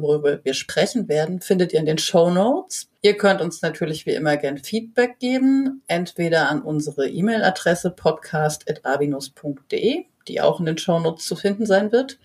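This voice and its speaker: A woman's voice: low-pitched, a little mellow. A woman hosting a German podcast, chatting casually and laughing easily as she interviews a guest. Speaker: podcast host.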